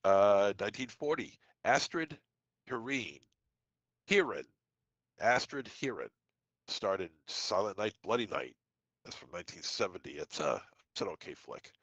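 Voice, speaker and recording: A man with a head cold co-hosting a podcast, reading dates and film titles with quick offhand asides. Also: fairly low pitch, lively intonation, fairly bright tone, no echo, rough recording. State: congested from a cold. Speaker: podcast co-host. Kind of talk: casual podcast segment reading trivia.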